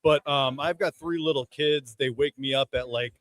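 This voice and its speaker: A man in his thirties telling a casual story as a podcast panelist, halting and rambling. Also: medium pitch, a bit bright. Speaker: sports writer and podcast panelist.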